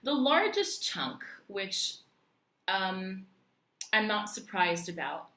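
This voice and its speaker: A woman from Puerto Rico vlogging in a chatty, animated way, with lots of fillers and restarts. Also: mid-range voice, takes it slowly. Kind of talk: casual talk to camera. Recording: echoey room.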